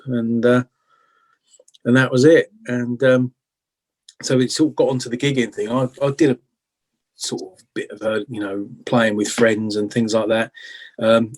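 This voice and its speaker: A middle-aged man with an English accent chatting casually as an interview guest, reminiscing in an informal, halting, self-effacing way. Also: mid-range voice. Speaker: interview guest, blues rock guitarist.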